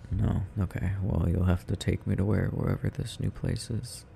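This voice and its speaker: A man's voice: low voice, steady tone, moderate pace, creaky, dark tone, a little hiss or hum. A young man playing a sleepy, needy, affectionate boyfriend in an intimate ASMR roleplay, murmuring drowsily and tenderly close to the microphone. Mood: drowsy, affectionate.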